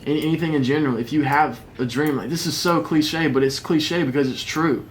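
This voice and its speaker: A man's voice: on the high side, steady tone, brisk, slight background noise. Laid-back young man, candid and self-mocking. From South Carolina, raised in North Carolina. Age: young adult. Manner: candid, self-deprecating, laughs easily. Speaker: rapper guest. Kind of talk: casual radio interview. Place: radio studio.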